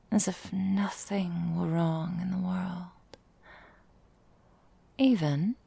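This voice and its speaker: Woman acting a slow, whispering, seductive vampire mistress. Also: lowish voice, dark tone, dry recording, heavily acted. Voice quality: breathy, husky whisper. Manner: slow, velvety, formal old-fashioned phrasing. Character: seductive, predatory ancient vampire mistress, tenderly menacing. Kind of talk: intimate ASMR roleplay.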